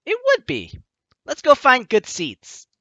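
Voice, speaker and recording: A man's voice: extremely high pitch, huge pitch swings, takes it slowly, dry recording, muffled recording. A man doing casual let's play commentary, reading game text aloud and adding teasing, sarcastic asides. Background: soft game music.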